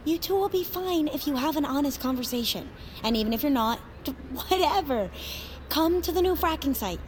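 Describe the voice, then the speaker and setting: A woman's voice: high voice, animated, a little fast, slight background noise. A woman playing a chatty, supportive, irreverent teenage girl with casual, emphatic speech in a naturalistic audio drama. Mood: urging. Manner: casual, emphatic, coaxing teen talk.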